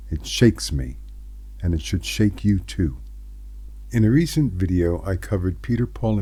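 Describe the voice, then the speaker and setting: A middle-aged American man hosting scripted political commentary, speaking in an earnest, emphatic way with deliberately set-apart phrases. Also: low voice, dark tone. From United States. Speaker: host of a commentary channel.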